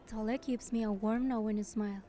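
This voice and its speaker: Here is a woman playing a young heroine narrating a romance game in first person. Her voice is on the high side and very mellow, with a gentle, measured manner. Quiet music plays behind. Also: steady tone, close to an everyday voice. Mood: warm, thoughtful.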